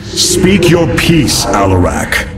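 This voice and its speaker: An actor playing a noble, resolute alien warrior leader in a video game, speaking gravely and formally with command. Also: male voice, fairly low pitch, big pitch swings, slow, over loud music. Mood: stern, wary. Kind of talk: solemn video game cinematic acting.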